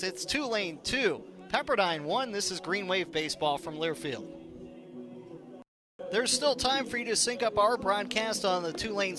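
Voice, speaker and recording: A man calling a live baseball game on the radio as play-by-play announcer, in clipped, descriptive phrases. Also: sits high. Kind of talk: live radio sports commentary.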